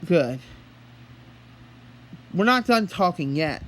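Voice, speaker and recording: A man talking in an earnest, halting way, as if holding a one-sided phone conversation. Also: high-pitched, sing-song, fairly bright tone, slight background noise, low-quality recording. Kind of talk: one-sided pretend phone call, rambling monologue.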